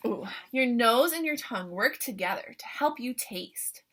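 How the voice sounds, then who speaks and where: A woman reading a picture book aloud to young children like an excited teacher, with animated wonder, questions and asides in a breathy voice. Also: on the high side, a little slow, background noise.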